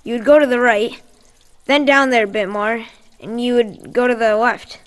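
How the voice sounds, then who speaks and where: A woman's voice: on the high side, fairly even intonation, normal speed, big-room sound, close to an everyday voice. An actress playing an earnest, devout boy in a radio drama, plain-spoken, urgent and determined. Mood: wary, searching. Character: earnest, devout boy living rough underground. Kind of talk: post-apocalyptic radio drama acting.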